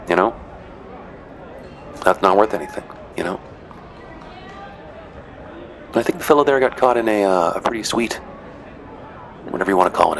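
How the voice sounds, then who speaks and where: A man casually narrating footage of matches he refereed, chatty and rambling with dry joking asides. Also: sits low. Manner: rambling, chatty, frequent "you know" and "uh". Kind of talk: casual commentary.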